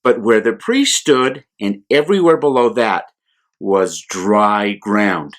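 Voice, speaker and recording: An American man, a priest, telling a Bible story to children in simple words with deliberate repetition for emphasis. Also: fairly low pitch, expressive, a bit bright. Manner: simple, deliberate explanations with repeated phrases for emphasis.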